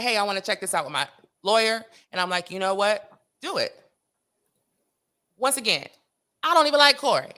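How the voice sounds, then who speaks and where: A woman's voice: mid-range voice, sharp tone. A middle-aged woman from Los Angeles telling gossipy stories as an interview guest, brash, sassy and emphatic. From Los Angeles, United States. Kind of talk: gossipy storytelling.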